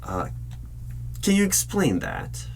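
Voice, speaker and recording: A man playing a polite, probing hiring manager in an ASMR interview roleplay, speaking in measured phrases with deliberate pauses. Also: sits low, huge pitch swings, gravelly, exaggerated voice. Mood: politely probing. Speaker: job interviewer. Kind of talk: ASMR roleplay, spoken aloud. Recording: background noise with keyboard typing. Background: computer keyboard typing.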